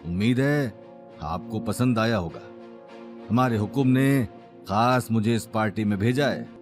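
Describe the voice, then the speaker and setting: A man's voice: fairly low pitch, a little slow, faint music behind, close to an everyday voice. A man playing a loyal steward of a wealthy family in an audio drama, formal and deferential. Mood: courteous, formal. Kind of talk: Hindi audio drama acting.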